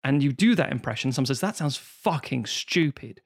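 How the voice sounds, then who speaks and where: A man's voice: medium pitch, moderate pace, gravelly. A man co-hosting a podcast, ranting in casual chat and swearing freely. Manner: swears freely, animated rants. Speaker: podcast co-host.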